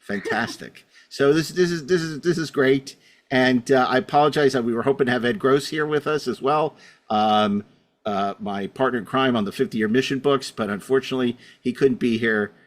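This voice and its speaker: A man's voice: medium pitch, moderate pace. Rambling, wry man hosting a podcast. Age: middle-aged. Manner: rambling, wry, self-deprecating. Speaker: podcast host. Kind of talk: casual podcast introduction.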